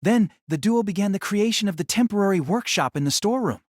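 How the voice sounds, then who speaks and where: Male narrator, slightly high pitch, scripted storytelling, audio drama, dry close sound. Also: expressive, quick pace, no room sound.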